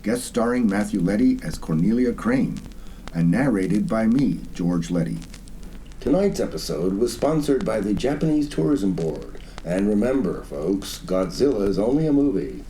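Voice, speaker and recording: A man's voice: lowish voice, expressive, normal speed, warm tone, slight background noise, rough recording. A man as narrator, reading scripted closing credits for a radio play.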